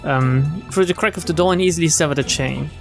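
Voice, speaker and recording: A man's voice: fairly high pitch, a little fast, vocal fry. A man streaming a visual novel, reading the text aloud and adding wry, casual asides. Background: game music.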